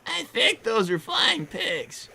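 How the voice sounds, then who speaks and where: Woman as young cartoon fox boy, creaky, bright, meek, stammering, deadpan, improvised banter. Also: on the high side, slow, heavily acted. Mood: meek, distracted. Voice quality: raspy, creaky, boyish. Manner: hesitant, stammering, defensive. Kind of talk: improvised in-character gaming livestream banter.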